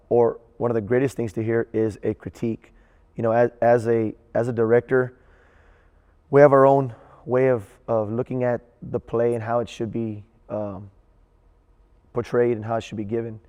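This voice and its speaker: A male theater director reflects earnestly in an interview. His delivery is halting and fairly monotone, with a mellow tone and noticeable room reverb. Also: mid-range voice. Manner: halting, repeats words while thinking.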